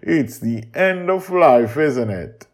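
A man voicing a doting grandmother in a story, teasing and cooing with drawn-out amusement. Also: mid-range voice, expressive, pitched above the performer's own voice.

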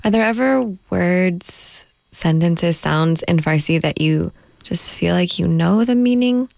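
A woman's voice: lowish voice, animated, slow pace, vocal fry, a little mellow, no echo, clean studio sound. A woman hosting a radio interview, encouraging her guest with short interjections. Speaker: radio host. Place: radio studio.

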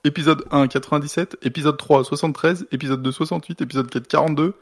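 Male podcast co-host, creaky voice, loose colloquial banter, close dry sound. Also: a little high, fairly even intonation, fast talker, no echo. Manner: colloquial, joking, sweary. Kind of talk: casual music podcast chat.

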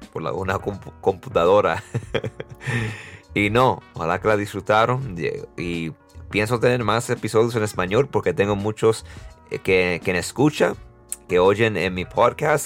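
Young Dominican-American male podcast host, low voice, easy laughs, soft music under. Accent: Dominican and American-tinged Spanish. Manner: warm, laughs often, restarts words. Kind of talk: casual interview and outro.

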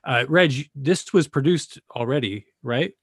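A man's voice: mid-range voice, a little slow, noisy background. A man in casual discussion on a video call, thoughtful and searching for words as he talks. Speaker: discussion participant.

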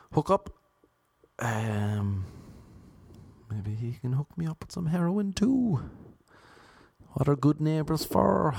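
A young man with a Cork Irish accent, telling stories in a deadpan, self-mocking, rambling way. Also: mid-range voice, vocal fry, dry recording. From Ireland. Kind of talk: casual storytelling monologue.

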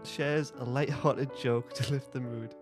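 A man's voice: medium pitch, steady tone, unhurried, music in the background. A man hosting a podcast, reading script narration aloud in an amused way. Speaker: podcast host.

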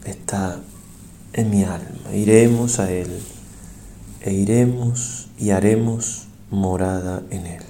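A man, a priest, leads a guided prayer meditation in a solemn, reverent and measured way, pausing between short phrases. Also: fairly low pitch, fairly even intonation, dark tone, slight background noise.

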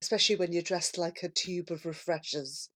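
A woman in casual chat, commenting in halting, informal phrases. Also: fairly low pitch, fairly even intonation, a bit bright.

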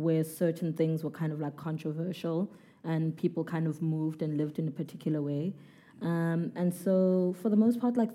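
A South African woman in her twenties, a guest in an interview, who answers in a relaxed, hesitant way with many fillers. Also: sits low. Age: 20s.

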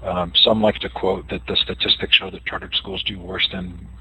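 A man's voice: sits low, flat delivery, normal speed, noisy background. A man hosting an interview, asking thoughtful questions with some hesitation. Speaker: host.

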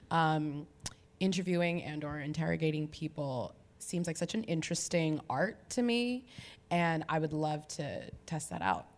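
A woman, an actress on a panel before a live audience, answering in a bubbly, giggly way. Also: lowish voice.

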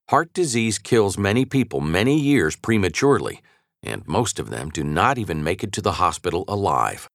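A man reading a scripted nonfiction audiobook in a measured, articulate and assured way, recorded in a studio. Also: sits low, fairly bright tone, no room sound. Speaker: audiobook narrator.

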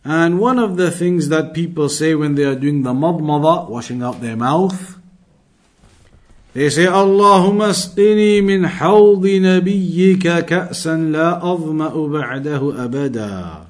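A man giving an Islamic lesson, reading out Arabic passages and then explaining them in English in a deliberate, repetitive way. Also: on the high side. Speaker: Islamic studies teacher reading and explaining a text.

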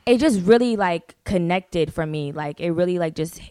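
A woman's voice: on the low side, lively intonation, normal speed, warm tone, clean tone, dry recording, studio quality. A young woman, a singer, chatting casually as a guest, laid-back and full of "like" fillers and swearing. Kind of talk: casual interview chat.

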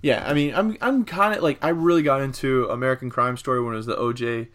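A man co-hosting a casual podcast discussion about entertainment, talking in a halting, rambling way. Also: medium pitch, a bit bright. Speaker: podcast co-host.

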